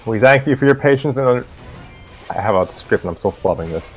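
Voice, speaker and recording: A man co-hosting a show in a deadpan manner, mixing scripted announcements with casual chat. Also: medium pitch, quick pace, faint music behind, low-quality recording. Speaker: co-host of a story-reading show.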